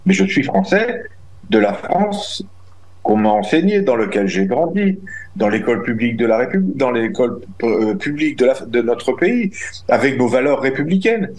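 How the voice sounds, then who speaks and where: A man's voice: medium pitch, expressive, normal speed, a little mellow, low-quality recording. A middle-aged man answering interview questions as a guest, emphatic and indignant, often restarting his phrases. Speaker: guest, community representative.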